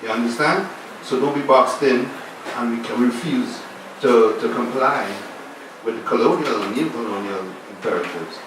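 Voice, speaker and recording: A man's voice: medium pitch, normal speed. Passionate man, far off in an echoey room. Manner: passionate, declamatory. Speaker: filmmaker on a panel. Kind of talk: impassioned panel answer. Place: festival panel room. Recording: echoey room, far from mic, background noise.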